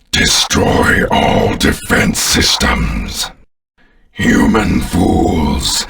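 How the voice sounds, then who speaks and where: Video game villain: imperious alien warlord, extremely low register, forceful and biting, menacing rasp, commanding and contemptuous. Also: male voice, far from the mic, exaggerated voice. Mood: gloating, contemptuous. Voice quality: deep, gravelly, menacing rasp. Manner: pompous, commanding, slow and deliberate.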